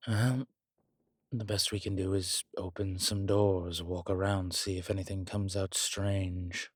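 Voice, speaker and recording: A man playing a long-lived demonology professor in an audio roleplay, calm, protective and gently wry, speaking in a measured, deliberate way. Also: deep voice, husky, no echo, clean studio sound. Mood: grave, explaining. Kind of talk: slow intimate audio roleplay acting.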